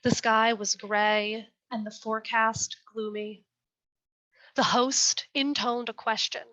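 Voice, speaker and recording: A young woman reading her poem slowly and with care, then answering questions in an earnest, chatty way over a video call. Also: a little high, level tone, pushed and bright. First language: English. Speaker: poet reading her work. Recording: dull lo-fi video call audio.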